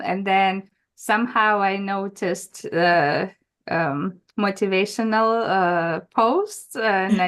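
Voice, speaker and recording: A woman's voice: medium pitch, fairly bright tone. A woman in her forties who is not a native English speaker, a guest asking a question on a video call, hesitant and full of fillers.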